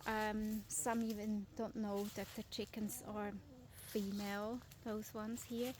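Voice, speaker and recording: A woman's voice: medium pitch, little pitch movement, slow pace, darkish tone, slight background noise. Swiss-accented middle-aged woman, non-native English, casual garden vlog, chatty with fillers, recorded outdoors with faint ambience. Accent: Swiss German. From Switzerland. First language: Swiss German. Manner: chatty, informal, with frequent fillers and restarts. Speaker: vlogger, lifestyle property owner.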